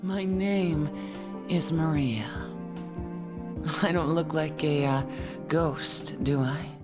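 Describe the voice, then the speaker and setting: A woman's voice: deep voice, slow, over music. An actress playing a sultry, teasing, mysterious woman in a horror video game, languid and coy. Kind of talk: video game drama acting.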